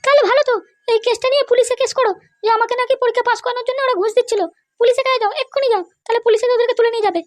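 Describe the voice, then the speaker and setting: A woman's voice: very high-pitched, fairly even intonation, rapid-fire, dry recording. A woman playing a schoolmaster's vindictive, pushy wife who gives commands insistently in a comedy cartoon. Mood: vindictive, demanding.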